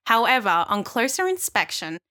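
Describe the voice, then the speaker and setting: A woman co-hosting a relaxed podcast, quick to agree and affirm. Her voice sits a little high and is very bright. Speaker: podcast co-host. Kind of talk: casual chat.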